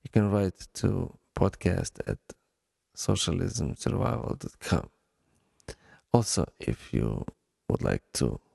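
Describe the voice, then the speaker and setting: A man with a Ukrainian accent hosting a solo podcast, speaking in a deliberate, halting way with pauses. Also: low voice, creaky, darkish tone, breathy edge, no echo, clean studio sound. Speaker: podcast host.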